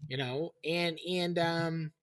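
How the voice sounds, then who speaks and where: A man with a scratchy, boyish voice, chatting excitedly as a chef with lots of fillers. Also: a little high, slow. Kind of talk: casual chat.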